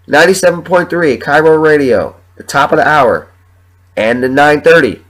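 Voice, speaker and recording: A young man hosting his own podcast while sick with the flu, sniffling and talking in a halting, rambling, emotional way with plenty of swearing. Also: a little high, animated, poor audio. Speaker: podcast host. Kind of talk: solo podcast monologue.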